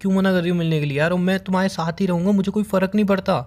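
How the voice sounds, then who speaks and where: A man's voice: sits high, fairly even intonation, rapid-fire, a little mellow, lightly acted. A young man with an Indian accent acting as a worried, devoted boyfriend in a phone-call drama, pleading and questioning insistently. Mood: insistent, hurt.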